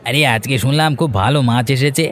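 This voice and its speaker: A man voicing a brisk, easygoing cartoon fisherman. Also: medium pitch, some chatter behind, a little hiss or hum. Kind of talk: cartoon dialogue.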